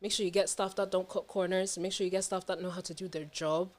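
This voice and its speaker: A woman's voice: on the low side, fairly even intonation, fast, darkish tone. A young woman talking casually and candidly as a podcast guest, emphatic, slangy and sweary. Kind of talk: casual personal chat.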